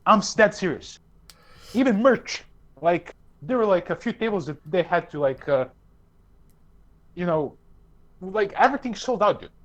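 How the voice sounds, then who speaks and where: Lively, emphatic man chatting casually. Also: high voice, moderate pace, pauses often. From Serbia. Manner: emphatic, profane, says "dude" often. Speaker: podcast co-host. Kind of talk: casual chat.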